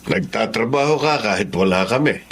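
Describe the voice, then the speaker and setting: A man's voice: on the low side, animated, normal speed, a bit bright, slightly rough. An actor playing a kindly, generous older Filipino employer in a Tagalog radio drama, fatherly and concerned, with some background noise.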